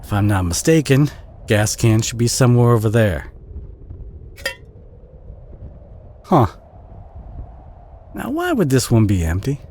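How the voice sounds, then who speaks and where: A man with a Southern US accent playing a kind, protective, bashful country boy in an audio roleplay, speaking in a gentle, folksy, hesitant way with a husky voice. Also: medium pitch, huge pitch swings, moderate pace. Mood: easygoing. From southern United States. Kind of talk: audio roleplay acting, addressed to the listener.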